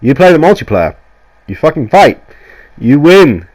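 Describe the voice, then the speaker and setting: A man's voice: fairly high pitch, sing-song, a little slow, darkish tone, low-quality recording. A man with a cold, sounding nasal and coughing now and then, rambling through a casual, sweary game review voiceover. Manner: rambling, sweary, enthusiastic, restarts often. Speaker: gaming YouTuber. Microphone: voiceover mic.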